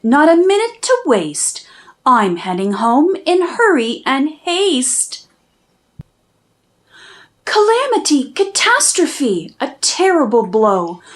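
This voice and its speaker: A woman reading a rhyming children's storybook aloud with expressive, dramatic emphasis. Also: high voice. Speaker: children's book narrator, channel host.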